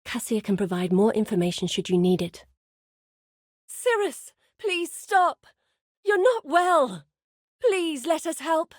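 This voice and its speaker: A woman voicing an earnest, wary scholar and guide in a dark fantasy video game, speaking gravely in articulate, formal phrases. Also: high-pitched, big pitch swings, slow pace, dry recording, studio quality, heavily acted. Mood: desperate pleading.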